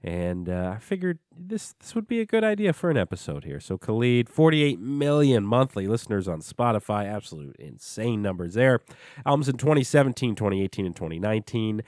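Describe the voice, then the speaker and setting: A man hosting a music podcast in a relaxed, chatty way, with a stuffed-up voice from a cold and plenty of filler words. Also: lowish voice, a little fast, no room sound, clean studio sound. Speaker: podcast host. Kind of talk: casual music show chat.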